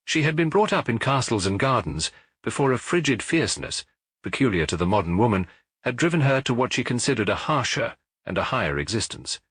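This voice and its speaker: A British man reading a detective story as an audiobook, in a measured, precise literary narration. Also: medium pitch, sing-song, a bit bright, slightly rough, dry recording.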